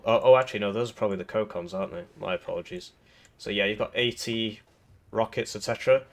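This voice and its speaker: A man's voice: fairly low pitch, fairly bright tone. A man with a cold, sounding a little throaty, giving casual rambling commentary over a strategy game with lots of fillers and restarts. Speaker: gaming video creator.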